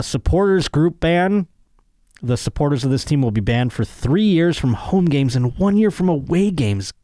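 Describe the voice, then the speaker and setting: A man's voice: mid-range voice, dark tone, dry recording. Sardonic American man riffing on news. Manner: sardonic, profane. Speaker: podcast host. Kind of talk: casual comedic news commentary, reading aloud and riffing.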